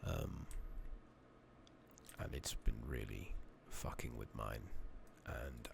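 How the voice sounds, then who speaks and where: Very deep, gravelly, soft-spoken man. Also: takes it slowly. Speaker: game streamer, ASMR host. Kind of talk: soft-spoken, partly whispered ASMR game playthrough. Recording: steady noise, keyboard typing and clicking.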